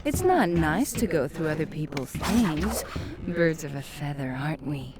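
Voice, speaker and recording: An actress playing a seductive dark companion in a fantasy game, sultry, sly and teasingly sardonic. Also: female voice, low-pitched, big pitch swings, unhurried, heavy background noise. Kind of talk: dramatic fantasy game acting.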